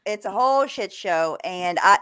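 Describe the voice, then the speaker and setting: A woman's voice: mid-range voice, animated, normal speed, sharp tone, clean tone. A woman on a talk panel, chatting informally in a blunt, scornful manner. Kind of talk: casual gossip chat.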